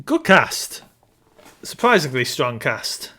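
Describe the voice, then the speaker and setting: A man's voice: medium pitch, very animated, slow pace, bright, forceful tone. A man co-hosting a casual film discussion, giving his opinions and repeating points for emphasis. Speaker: podcast co-host.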